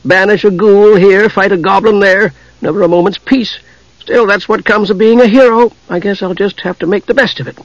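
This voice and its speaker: A man's voice: sits high, animated, normal speed, fairly bright tone, no echo, dull audio, voice pushed up. A man voicing a small, naive, chatty kender adventurer who rambles eagerly, in a fantasy story reading. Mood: resigned, self-important.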